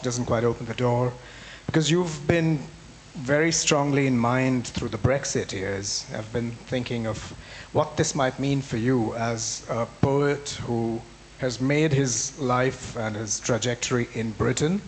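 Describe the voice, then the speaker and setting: A man's voice: mid-range voice, slight background noise, low-quality recording. Thoughtful man moderating a literary talk. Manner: thoughtful, circling phrasing with fillers. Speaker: festival moderator. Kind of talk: interview question.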